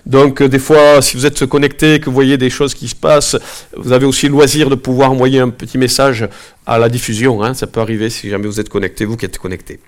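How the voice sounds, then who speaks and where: A man with a head cold giving a relaxed, conversational sermon to his congregation, with many fillers and asides, also leading a prayer. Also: medium pitch, quick pace. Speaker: preacher. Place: church gathering, also streamed online.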